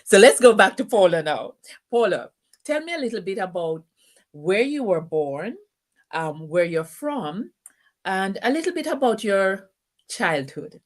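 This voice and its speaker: A woman's voice: mid-range voice, sing-song, measured pace. A woman hosting an interview, encouraging her guest and asking long, rambling questions. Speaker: host. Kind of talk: interview host.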